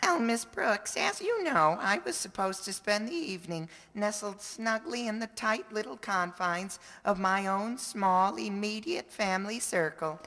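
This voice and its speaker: Woman playing an animated, pompous teenage boy, echoey hall. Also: medium pitch, unhurried, few pauses. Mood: eager, pompous. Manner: flowery, mangled formal wording. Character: earnest, pompous, awkward teenage high school boy. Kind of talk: old-time radio comedy acting. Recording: echoey hall, long reverb.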